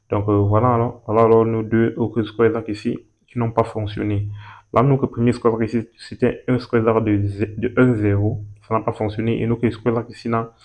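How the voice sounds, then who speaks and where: A man, talking through a screen-recorded walkthrough, repeating himself and pausing as he points things out. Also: on the low side, darkish tone. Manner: repetitive and hesitant, points at things with "ici, là". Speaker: betting tutorial host.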